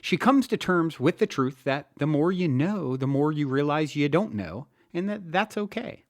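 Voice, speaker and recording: A man with a lively, widely swinging delivery and a medium pitch presents spiritual self-help as host and author. His phrasing is earnest and emphatic, and the recording is close and dry. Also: normal speed, no room sound. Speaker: podcast host and author reading own book. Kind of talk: spiritual self-help audiobook narration with casual podcast introduction.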